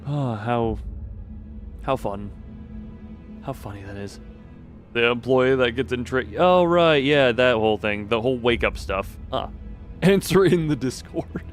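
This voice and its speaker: A man's voice: mid-range voice, unhurried, pauses often, faint music behind. A man hosting a game livestream, chatting casually, reading dialogue aloud and often breaking off to muse. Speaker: livestream host playing a game.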